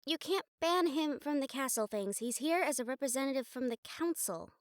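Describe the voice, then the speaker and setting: A woman plays a wry young bride-to-be about to help lead a vampire clan. Her voice is high and sits close and dry, with no room around it. She talks straight to the listener, conversational, teasing and emphatic. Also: expressive, normal speed, no room sound. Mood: firm, pleading. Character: wry, determined young bride-to-be joining a vampire clan's leadership. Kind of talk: audio roleplay drama acting, addressing the listener.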